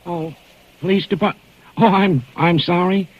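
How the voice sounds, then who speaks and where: A flustered man brushing off a call on a vintage radio play. His fairly high voice stumbles and hurries along. He sounds set back from the mic, and the sound is dull. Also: fairly even intonation. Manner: nervous, hurried, stammering. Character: man on a phone line, flustered and evasive. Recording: muffled old radio, heard from off-mic.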